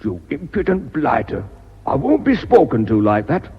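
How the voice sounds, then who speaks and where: Irate company boss, muffled and reverberant. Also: male voice, on the high side, sing-song, moderate pace, breathy edge, full character voice. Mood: angry. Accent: British. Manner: blustering, indignant. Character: irate, overbearing male company director. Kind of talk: radio drama acting. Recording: muffled reverberant old radio recording.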